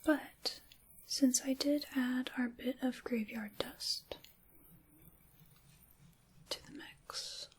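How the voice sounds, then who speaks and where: A woman voicing a sinister, mock-courteous dark witch for an ASMR roleplay, in a breathy whisper with theatrical, formal wording. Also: high voice, steady tone, exaggerated voice. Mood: scheming, hushed. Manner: slow, theatrical, formal old-fashioned wording.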